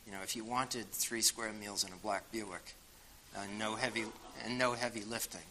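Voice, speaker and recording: Bright-voiced older man with a Boston accent. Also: lowish voice, fairly even intonation, normal speed, slight background noise, poor audio. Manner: frank, wry, many fillers. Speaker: guest speaker answering audience questions. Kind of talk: casual spoken reply.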